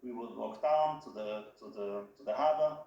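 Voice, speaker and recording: A man from Austria, a company head being interviewed, speaking in a halting way and often restarting his phrases. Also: on the low side, gravelly, muffled recording, crowd noise. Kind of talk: casual interview talk. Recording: roomy echo.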